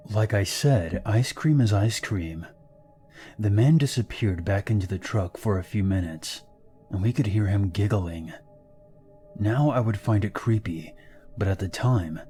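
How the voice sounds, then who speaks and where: A man calmly narrating true scary stories in a steady, scripted storytelling style. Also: lowish voice, a little slow. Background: background music.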